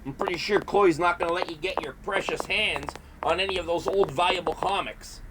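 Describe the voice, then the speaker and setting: A man's voice: high-pitched, brisk, background noise, close to an everyday voice. A man playing an exasperated, sarcastic monster hunter who talks in exclamations, in a comedy horror audio drama.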